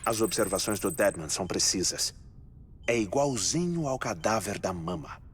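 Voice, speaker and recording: A man with a Brazilian accent playing a calm, methodical scientist who explains findings in a dubbed game cutscene. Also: fairly low pitch, close to an everyday voice. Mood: calm, explanatory. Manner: expository, measured. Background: music.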